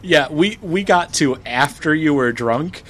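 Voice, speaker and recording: A man's voice: fairly high pitch, animated, unhurried, a bit bright. A man guest-hosting a casual podcast, teasing his partner and laughing at his own jokes. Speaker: guest co-host.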